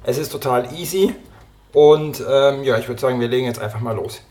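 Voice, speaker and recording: A man with a slightly hoarse voice from a cold, hosting a cooking podcast and chatting casually as he prepares a recipe in his home kitchen. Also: mid-range voice, fairly quick. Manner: relaxed, talks while chopping and cooking. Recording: kitchen clatter and clicks behind. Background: dishes, pots and pans clattering.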